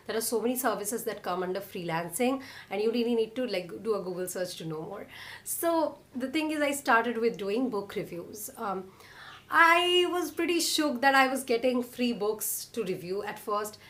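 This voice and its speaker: A young woman with an Indian accent, telling her own story in a casual, chatty vlog and often using "like" and "you know". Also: mid-range voice. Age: young adult. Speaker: vlogger.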